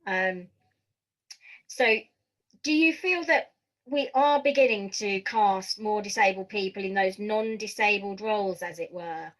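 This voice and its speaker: A woman's voice: mid-range voice, a little slow, low-quality recording. Female podcast interviewer, medium register, conversational, tentative in framing questions.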